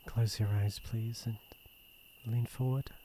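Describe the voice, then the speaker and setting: Man acting as a slow, tender, shy British prince. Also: medium pitch, steady tone, a little hiss or hum, lightly acted. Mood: tender, wistful. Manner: soft, slow, hesitant, intimate. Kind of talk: romantic ASMR roleplay.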